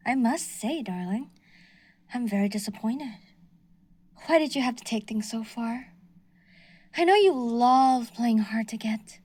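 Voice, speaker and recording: Woman voicing a delusional yandere stalker, fairly high, sugary menace with hushed whispers. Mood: disappointed. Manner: sweetly menacing, slips into whispers. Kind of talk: audio roleplay.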